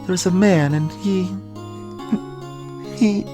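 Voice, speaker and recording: A man's voice: high-pitched, slow pace, voice pushed up. Man voicing a sorrowful young woman spirit medium, music behind. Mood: sad, reflective. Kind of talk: voiced video game dialogue.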